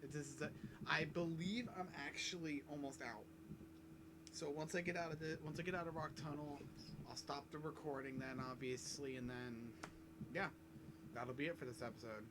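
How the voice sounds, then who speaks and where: Guy rambling through a game playthrough, coughing, kinda high voice, noisy mic. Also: steady tone, moderate pace. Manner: rambling, swears a lot, self-mocking. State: coughing fits. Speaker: gaming YouTuber. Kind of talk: casual let's-play commentary. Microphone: desk mic.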